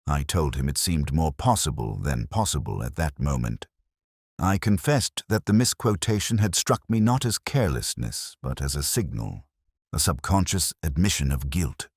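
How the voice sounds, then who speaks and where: Very deep-voiced man narrating detective fiction. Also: husky, dry recording, studio quality. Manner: solemn, measured, literary delivery. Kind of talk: scripted first-person detective story narration.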